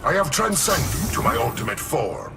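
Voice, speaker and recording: A man's voice: mid-range voice, sing-song, moderate pace, vocal fry. An actor playing a hulking, menacing male brute in a video game, with stiff, formal phrasing. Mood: grandiose menace. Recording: voice under loud game music.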